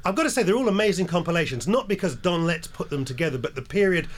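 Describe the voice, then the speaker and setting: A man's voice: high-pitched, expressive, quick pace, a little hiss or hum. A jet-lagged older man from England, telling stories as a radio interview guest with a wry, laid-back manner.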